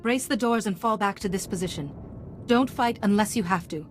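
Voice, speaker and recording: An actress playing a calm, resolute Jedi heroine in a video game, speaking in a composed, firm manner. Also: female voice, medium pitch, normal speed, faint music behind, lightly acted. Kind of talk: video game cutscene acting.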